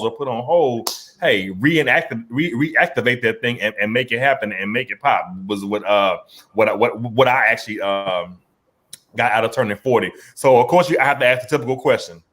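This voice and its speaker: A man's voice: medium pitch, fairly bright tone. A man in his forties hosting a casual conversation, laid-back and slangy, often repeating words as he talks. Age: 40s. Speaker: podcast host.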